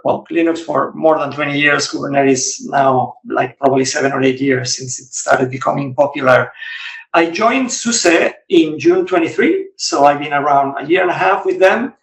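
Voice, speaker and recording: A middle-aged man from Spain, a guest on a podcast interview, explaining things in a relaxed way with frequent restarts. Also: fairly high pitch, gravelly, reverberant, far from the mic, rough recording. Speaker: guest, regional software executive.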